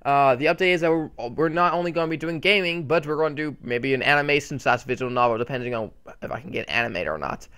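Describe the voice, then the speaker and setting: A man with a bright, forceful voice talks casually through a channel update on a desktop mic. He rambles, with many fillers and restarts. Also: fairly high pitch, fast, hardly any pauses, clear, resonant tone. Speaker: channel host.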